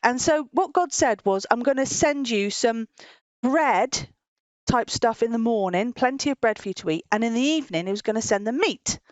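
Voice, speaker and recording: A woman with a fairly high voice tells a children's story in an informal way. She explains things in simple words and repeats points as she goes. The sound is dry and close. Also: lively intonation, moderate pace, no room sound. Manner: simple explanatory phrasing with frequent repetition and chuckles. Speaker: children's Bible story presenter.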